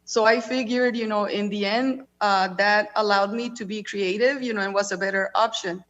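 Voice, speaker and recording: A woman's voice: medium pitch, fairly even intonation, moderate pace, a bit bright. Mexican lady on a panel, says you know constantly, kinda dull audio. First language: Spanish. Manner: casual, peppered with "you know". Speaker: real estate leasing manager. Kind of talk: panel discussion.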